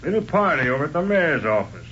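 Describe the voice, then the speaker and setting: An American man playing a surly, bossy jail sergeant in a 1940s radio drama, barking gruff, slangy commands. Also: fairly high pitch, big pitch swings, fast talker, a bit bright. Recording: dull, noisy old radio recording.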